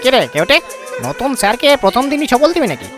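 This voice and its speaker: A man's voice: very high voice, big pitch swings, fast talker, over a noisy crowd. A man voicing a cheeky, insolent young male cobra schoolboy in a comic cartoon, full of mock-polite backtalk. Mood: cocky. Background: music.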